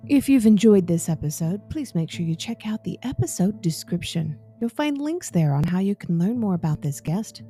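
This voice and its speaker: Woman podcast host, animated pitch, wry, scripted outro with faint music under. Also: normal speed, few pauses.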